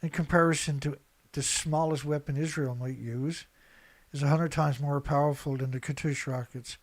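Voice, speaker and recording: A man's voice: on the high side, fairly even intonation, moderate pace. A man giving unscripted, opinionated news commentary as an online host, reading out headlines and reacting in a blunt, emphatic, colloquial way with a lot of repetition.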